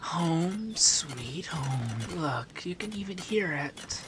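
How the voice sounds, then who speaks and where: A man playing a doting, teasing feminine male monster companion in a softspoken, often whispered ASMR roleplay. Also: fairly high pitch, expressive, drawn-out words, noisy background. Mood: soft, relieved. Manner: breathy, often dropping to a whisper.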